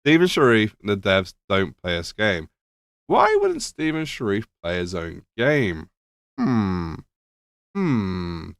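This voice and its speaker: A man's voice: fairly low pitch, wildly swooping pitch, takes it slowly, gravelly, no room sound, studio quality. A man reacting to a video in casual commentary, slightly nasal and losing his voice, sarcastic and swearing. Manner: sarcastic, swears, mock-questioning asides.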